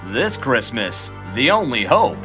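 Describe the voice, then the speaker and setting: Movie-trailer announcer, male actor, portentous scripted read, animated delivery over music. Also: medium pitch, slow pace.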